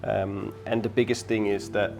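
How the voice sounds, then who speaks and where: A man in his forties from Denmark, a florist hosting a workshop, explaining steps in a friendly, halting way with many ums. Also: fairly low pitch, level tone, gravelly, music in the background. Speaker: florist host. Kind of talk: casual workshop chat. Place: bright indoor studio with flowers.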